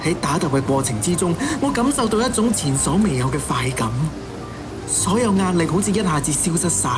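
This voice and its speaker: An actor with a Hong Kong Cantonese accent playing a fanatical, cynical killer who styles himself an executioner, in theatrical, taunting radio drama monologue. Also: male voice, fairly high pitch, lively intonation, fairly quick, over music, close to an everyday voice. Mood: brooding, confessional.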